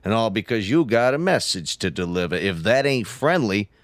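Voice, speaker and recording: An actor playing a self-assured, shifty gangster boss in a crime radio drama, talking in mobster slang. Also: male voice, on the low side, animated, normal speed, no echo, natural voice. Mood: guarded, threatening. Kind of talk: hard-boiled crime radio drama acting.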